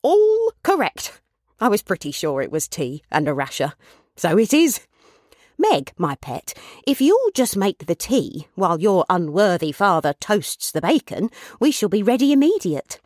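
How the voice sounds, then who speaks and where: A woman voicing an excitable, kindly old father, a poor porter who talks in a breathless, repetitive bustle in a storybook reading. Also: mid-range voice, measured pace, no echo, clean studio sound, pitched above the performer's own voice. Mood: cheerful, fibbing. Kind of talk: storybook character voice.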